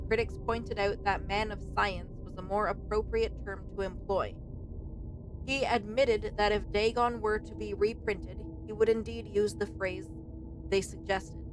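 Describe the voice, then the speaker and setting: A woman's voice: mid-range voice, noisy background. A woman streamer doing let's play commentary and reading game text aloud.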